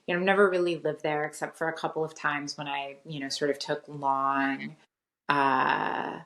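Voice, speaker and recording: A woman's voice: low voice, flat delivery, normal speed, fairly bright tone, slight background noise. A middle-aged woman from Costa Rica, raised in the US, answering interview questions in a thoughtful way with many fillers and restarts. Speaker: guest, theology professor. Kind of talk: conversational interview.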